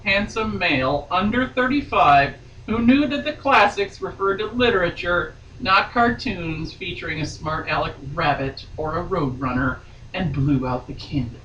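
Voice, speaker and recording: A woman's voice: on the low side, fairly bright tone, highs cut off. A woman giving a theatrical, mock-serious dramatic reading of a romance novel as a guest reader. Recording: echoey room, distant mic, faint noise.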